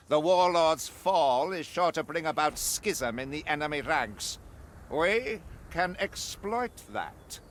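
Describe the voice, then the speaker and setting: An actor playing a zealous, righteous witch-hunting warrior priest in a video game, pompous and grandiloquent, pausing deliberately for effect. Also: on the high side, a bit bright, exaggerated voice. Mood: grave, resolute. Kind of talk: theatrical video game mission briefing.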